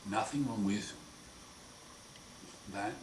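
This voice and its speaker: A man with a croaky, hoarse voice after throat surgery, talking slowly and wryly in a casual home video diary. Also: lowish voice. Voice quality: hoarse, partly breathy. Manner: slow, wry, plain-spoken, often pausing. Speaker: patient sharing his recovery. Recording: roomy echo, far mic, noisy.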